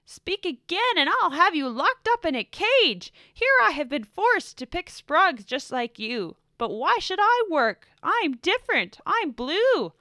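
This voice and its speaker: A woman voicing a boastful, greedy male creature who rants in a loud, shrill voice. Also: very animated, measured pace, few pauses, no room sound, clean studio sound, full character voice. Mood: furious.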